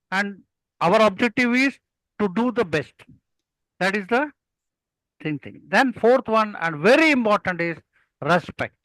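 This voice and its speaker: Older South Indian man, high bright voice. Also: clean tone, dry recording, poor audio. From Kerala, India. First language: Malayalam. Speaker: guest, experienced club speaker. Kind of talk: conversational storytelling and advice.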